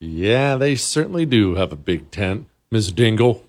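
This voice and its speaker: A man's voice: medium pitch, huge pitch swings, slow. A man hosting a political commentary show, delivering an opinionated monologue in a scornful, sarcastic manner. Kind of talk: opinionated monologue commentary over played clips.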